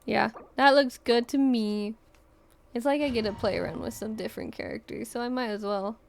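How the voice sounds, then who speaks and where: A woman's voice: on the high side, steady tone, moderate pace, gravelly, a little hiss or hum. A woman live streamer chatting casually over her gameplay, thinking aloud in slangy, offhand remarks.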